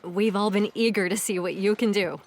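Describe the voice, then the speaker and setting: Woman playing a young captain in a fantasy audio drama, earnest, crisp military talk, medium pitch. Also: fairly even intonation, brisk, close to an everyday voice. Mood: nervous, respectful. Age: young adult. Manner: crisp, deferential military address. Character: earnest young female army captain, second in command.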